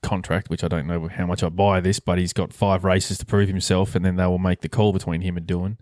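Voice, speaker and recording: A man's voice: low-pitched, flat delivery, fairly quick, darkish tone, no room sound, clean studio sound. A man hosting a podcast with casual, rambling sports chat and bantering humour. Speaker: podcast host.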